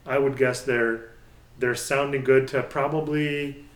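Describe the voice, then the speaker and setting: Male hobbyist reviewer, medium pitch, roomy echoey sound, casual and unscripted, halting as he thinks aloud. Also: little pitch movement. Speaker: hobbyist speaker reviewer and channel host. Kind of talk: casual unscripted product talk. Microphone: pair of budget stereo microphones.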